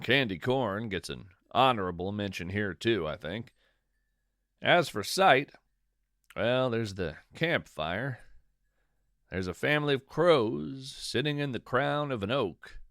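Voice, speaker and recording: A man playing a whimsical, contemplative naturalist narrating a poetic, folksy podcast monologue in a measured, savoring way. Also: mid-range voice, vocal fry, a bit bright, no echo, studio quality, full character voice. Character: whimsical wandering male naturalist, contemplative lover of strange nature.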